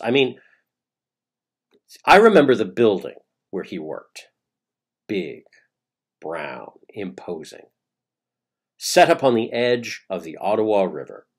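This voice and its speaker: A middle-aged man with a Canadian accent reading a dramatic first-person monologue in a theatrical, suspenseful way with deliberate pauses. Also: lowish voice, husky. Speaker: playwright reading his own play. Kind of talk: dramatic autobiographical monologue, storytelling.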